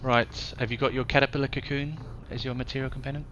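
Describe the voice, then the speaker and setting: A man's voice: medium pitch, level tone, fast talker, a bit bright, dull audio, slight background noise. A man with a New Zealand accent running a live tabletop game as game master, loose and playful, punchy when he narrates big hits.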